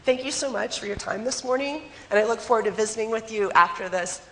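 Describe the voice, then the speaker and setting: A woman with a hoarse voice from a cold, giving a conference presentation and putting direct questions to her audience. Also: on the high side, quick pace, a little hiss or hum. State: hoarse, getting over a cold. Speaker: economic development consultant presenting. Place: conference hall. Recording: long hall reverb.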